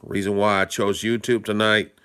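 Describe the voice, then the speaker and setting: A man hosting a live stream, hoarse and stuffed up from a cold, talking casually in a rambling way and greeting viewers by name. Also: on the low side, flat delivery, normal speed, bright, forceful tone. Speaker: livestream host.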